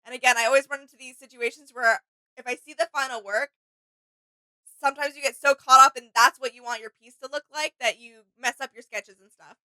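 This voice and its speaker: A woman's voice: high-pitched, fairly even intonation, fairly quick, bright, forceful tone, dry recording, poor audio. A woman co-hosting a casual reaction video, enthusiastic and agreeable as she comments like a fan.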